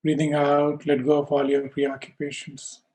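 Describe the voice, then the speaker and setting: A man teaching yoga and guiding a meditation in slow, calm, measured short phrases with pauses between them. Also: fairly high pitch, low-quality recording. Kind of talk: slow guided meditation instruction.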